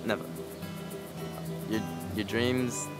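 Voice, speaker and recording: A man's voice: fairly low pitch, steady tone, takes it slowly. A man speaking earnestly in a motivational interview, repeating his phrases for emphasis. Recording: very loud music over the voice. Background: loud music.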